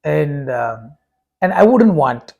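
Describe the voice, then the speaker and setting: Husky man, halting talk. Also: fairly high pitch, very animated, a little mellow, dull audio. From India. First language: Tamil. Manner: halting, many uh fillers and restarts. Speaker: vlogger and preacher. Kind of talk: casual talk to camera.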